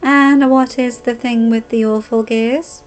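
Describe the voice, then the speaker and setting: A woman voicing Arya, a defiant highborn girl. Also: steady tone, faint music behind, lightly acted. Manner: sharp, defiant, quick to argue. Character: feisty young highborn woman, stubborn and rebellious.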